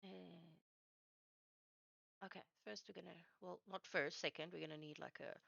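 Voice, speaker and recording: A woman whose first language is Afrikaans chats casually as she works on a livestream, haltingly searching for words. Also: on the low side, fairly even intonation, vocal fry, bright, forceful tone, no room sound, muffled recording. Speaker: streamer building game maps live. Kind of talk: casual chat while working.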